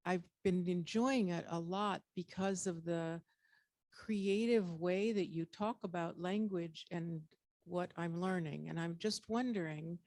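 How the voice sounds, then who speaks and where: A woman's voice: on the low side, a little mellow, no room sound. A woman in her eighties asking a spontaneous audience question in a tentative, rambling way. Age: 80s.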